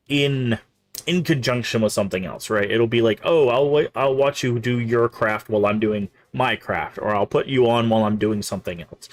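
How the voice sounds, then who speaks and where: A man's voice: fairly low pitch, no echo. A young man streaming gameplay, chatting casually and restarting his sentences, sounding a little congested and nasal. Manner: rambling, restarts phrases often.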